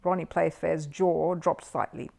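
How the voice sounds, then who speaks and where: A woman reading a children's book aloud as an expressive storyteller. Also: low voice, measured pace, creaky.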